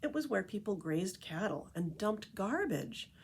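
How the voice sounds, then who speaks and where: A woman reading a children's picture book aloud as a storyteller, with expressive, deliberate emphasis on key phrases. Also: medium pitch, slight background noise.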